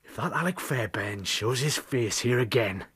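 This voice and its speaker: A man's voice: medium pitch, animated, moderate pace, fairly bright tone, breathy edge. An actor in a radio drama playing a bitter, jealous, hard-drinking husband confessing to murder, brooding as he tells it. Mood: jealous anger. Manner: brooding, confessional storytelling that breaks into confrontation.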